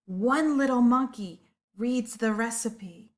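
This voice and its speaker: A woman storyteller reading a picture book aloud to young children, expressive and deliberate. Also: on the high side, a little hiss or hum.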